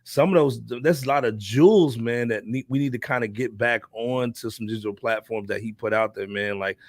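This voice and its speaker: A man's voice: mid-range voice, brisk, no room sound. Laid-back slangy man, dry close sound. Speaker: hip-hop podcast co-host. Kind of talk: casual chat.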